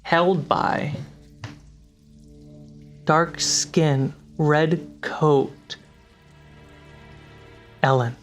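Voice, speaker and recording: A game master narrating a fantasy tabletop game in a dramatic, deliberate way with pauses. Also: mid-range voice, fairly even intonation. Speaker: game master and show host. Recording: loud music underneath. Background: loud cinematic music.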